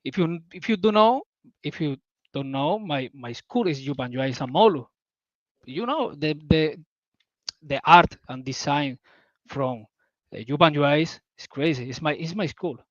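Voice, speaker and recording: Spanish-accented man, halting eager English. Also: a little high, animated, no echo, lo-fi sound. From southern Spain. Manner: halting, searching for English words, enthusiastic. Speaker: community member guest. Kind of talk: casual call talk.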